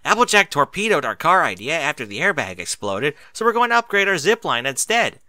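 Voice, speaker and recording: A man plays an impatient little filly in a storybook. The voice is raised high, bright and animated, full of youthful excitability. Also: brisk, no echo, exaggerated voice. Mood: exasperated.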